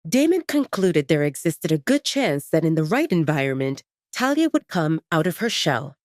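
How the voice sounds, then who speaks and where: A woman's voice: low voice, very animated, moderate pace, darkish tone, no echo, clean studio sound. A woman narrating a scripted romance story in a dramatic audiobook style.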